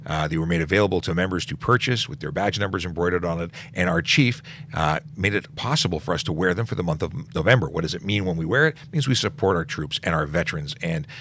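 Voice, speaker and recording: A middle-aged policeman with a low, deep voice hosts a casual live Q&A. He reads out questions and answers them off the cuff, with restarts and fillers, while a faint hiss sits under the recording. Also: fast talker, a bit bright. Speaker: police officer hosting a Q&A livestream. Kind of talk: casual livestream Q&A.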